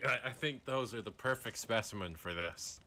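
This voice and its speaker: A man roleplaying an amoral mad doctor in a Western game, explaining his schemes calmly and haltingly. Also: lowish voice. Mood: matter-of-fact. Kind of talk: improvised online Western roleplay.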